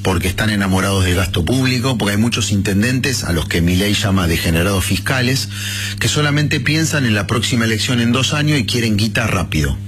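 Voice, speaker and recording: A man's voice: lowish voice, animated, fairly quick, a bit bright, a little hiss or hum. A man in his fifties with an Argentine Rioplatense accent, a guest in a radio interview, talking bluntly and colloquially with conviction. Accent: Rioplatense Spanish. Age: 50s. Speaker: interviewed politician, former mayor.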